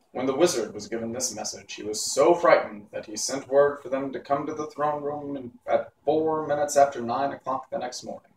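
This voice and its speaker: A man reading a children's novel aloud as host, in a measured, theatrical storytelling manner. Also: mid-range voice. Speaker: host and reader. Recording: echoey room, distant mic, faint noise.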